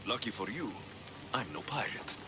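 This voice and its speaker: An actor playing a wry, steady young mercenary in a video game cutscene, speaking. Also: male voice, lowish voice, normal speed, noisy background. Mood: dry, teasing. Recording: music bed behind the voice.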